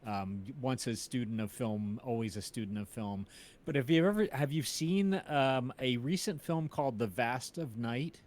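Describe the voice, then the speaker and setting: Middle-aged man, casual and halting. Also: medium pitch, lively intonation, darkish tone. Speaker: interviewer on a film podcast. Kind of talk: casual film chat on a livestream. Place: indoors at home, on a group video call.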